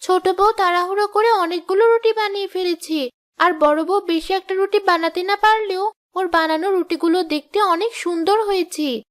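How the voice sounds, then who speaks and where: A woman's voice: very high voice, moderate pace. A woman voicing a deferential young daughter-in-law, eager to please, in a fairy-tale cartoon. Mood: meek.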